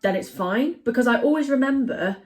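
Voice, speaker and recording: A woman's voice: on the high side, animated, brisk, music in the background. A young woman vlogging in her home kitchen, chatting casually and rambling with lots of fillers. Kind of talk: casual chat to camera.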